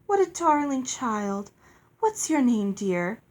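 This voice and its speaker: A woman's voice: fairly high pitch, sing-song, slow, a little mellow. A woman voicing a sweet, self-satisfied fairy from a storybook, doting and grandly gracious. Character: sweet, beautiful, airy female fairy, blithely self-satisfied.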